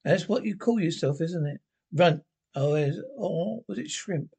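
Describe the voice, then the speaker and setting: A man playing a mocking male alter ego that speaks in a sly, drawn-out, taunting way. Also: fairly high pitch, expressive, creaky, mellow, slightly rough, full character voice. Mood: smug. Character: mocking male inner voice, smug and knowing.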